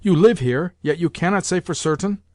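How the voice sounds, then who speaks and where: A man's voice: on the high side, brisk, darkish tone, dry recording, studio quality, pitched above the performer's own voice, close to an everyday voice. A man reading aloud, voicing an imperious old grandmother who scolds and gives orders bluntly.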